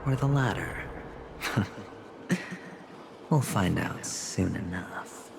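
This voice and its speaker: A cool, sly young gambler. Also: male voice, deep voice, gravelly, noisy background, heavily acted. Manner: calm and wry, unhurried.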